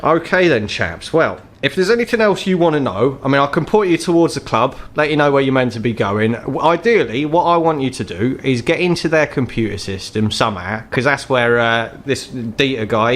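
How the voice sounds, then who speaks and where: A man's voice: mid-range voice, a bit bright, lightly acted. A man voicing an embittered, crippled, ageing troll fixer in a tabletop game, with a British accent and a blunt, world-weary, sweary manner.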